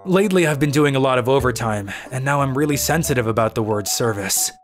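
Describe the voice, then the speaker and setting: A man's voice: mid-range voice, expressive, quick pace, faint music behind, natural voice. A man playing a weary, cynical young office worker who grumbles and narrates his own manga story in a dry, exasperated way. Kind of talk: dubbed manga story narration in first person.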